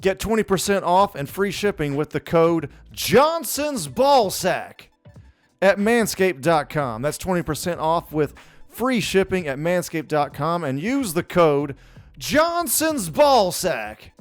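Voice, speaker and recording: Male podcast co-host, notably high voice, very varied intonation, laid-back colloquial phrasing. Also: very animated, takes it slowly, soft background music. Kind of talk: casual banter and ad reads.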